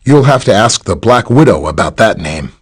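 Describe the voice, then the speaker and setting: A man's voice: fairly low pitch, sing-song, moderate pace, breathy edge. A man playing a friendly, easygoing superhero scientist in a video game, explaining things in a casual, conversational way. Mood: matter-of-fact. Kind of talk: video game character dialogue, briefing and greeting.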